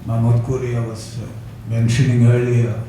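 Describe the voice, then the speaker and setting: An older man giving a conference talk, hesitant with many fillers and soft chuckles. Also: medium pitch, completely flat delivery, normal speed. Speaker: academic speaker. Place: lecture hall. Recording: echoey hall, distant mic, noisy.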